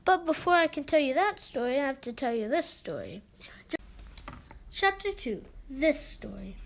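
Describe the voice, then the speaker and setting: A child reading a children's book aloud expressively, with halting delivery and stumbles over words. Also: high voice, fairly bright tone.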